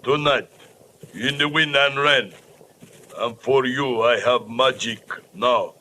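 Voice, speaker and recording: A man's voice: mid-range voice, pushed and bright. A man playing a menacing voodoo priest in an old radio drama, speaking ominously in broken English. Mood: menacing threat. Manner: slow, ominous, incantatory. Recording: old radio recording, faint noise.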